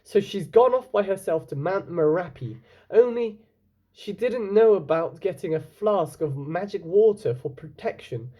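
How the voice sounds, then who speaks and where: A man's voice: sits high, expressive, dark tone, voice pushed up. A man doing an eager young storybook hero. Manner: eager, quick and breathless. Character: eager earnest young man pleading for help. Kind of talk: storybook read-aloud.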